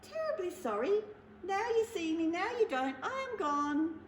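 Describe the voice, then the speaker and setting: Woman doing a polite high little mouse. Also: sing-song, a little slow, a little hiss or hum, exaggerated voice. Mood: apologetic. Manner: soft, polite, prim. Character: small polite male mouse, cheeky and persistent. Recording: roomy echo.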